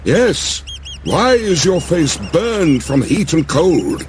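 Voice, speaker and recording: A man's voice: on the high side, very animated, slow pace, slight background noise, pitched above the performer's own voice. A man voicing a wary, questioning divine woman who keeps wine, in a dramatized epic audiobook, with an insistent, wondering delivery. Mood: probing, challenging. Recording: music behind the voice.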